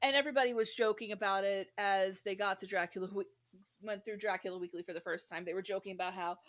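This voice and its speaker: A woman hosting a podcast in casual, humorous chat, animated and giggly, swearing freely. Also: medium pitch, fast talker. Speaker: podcast host.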